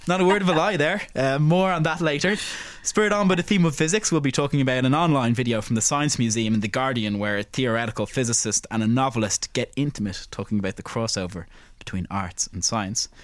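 A man co-hosting a culture podcast, chatting casually and reading quick film summaries. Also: mid-range voice, no room sound. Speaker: podcast co-host.

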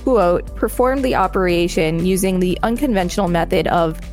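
A woman's voice: on the low side, normal speed, a little mellow, faint music behind. A woman podcast co-host reading an encyclopedia article aloud and adding commentary.

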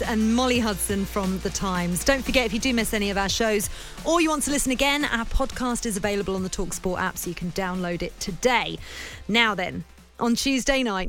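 A woman's voice: mid-range voice, no echo. Woman radio host, chatty and upbeat, studio mic, bit of music underneath. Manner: upbeat, chatty, laughs easily. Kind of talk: lively radio presenting.

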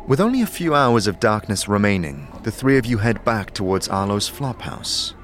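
A male narrator with a lowish, very expressive voice tells a scripted fantasy tale. Soft music plays beneath his storytelling. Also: normal speed.

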